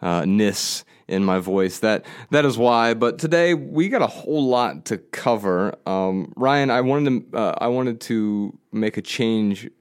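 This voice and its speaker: A man in his thirties with a fairly low voice, a touch nasal because he is fighting off a cold. He co-hosts a relaxed podcast, talking at length and circling back with restarts and fillers. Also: a little slow, few pauses, clear, resonant tone. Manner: rambling, with frequent restarts and fillers. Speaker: podcast co-host. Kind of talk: casual podcast chat.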